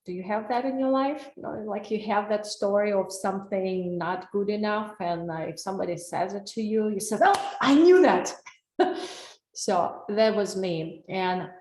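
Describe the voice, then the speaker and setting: A woman with a Russian accent talks through a wellness webinar, earnest and personal, turning to her audience with questions. Her voice sits at a middle pitch and comes over a computer mic on a video call. The room around her sounds echoey. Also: normal speed, a little mellow. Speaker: wellness coach. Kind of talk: live webinar presentation.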